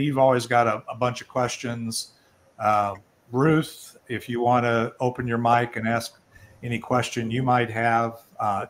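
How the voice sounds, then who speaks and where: An older man hosting an online networking event, talking informally and rambling a little as he presents and takes questions. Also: medium pitch. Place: online video call.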